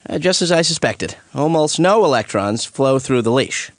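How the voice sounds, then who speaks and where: A man's voice: medium pitch, expressive, moderate pace, dry recording, clean studio sound, close to an everyday voice. A man voicing a laid-back, jokey science professor in a cartoon, explaining things in a breezy, casual way. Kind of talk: animated educational courtroom dialogue.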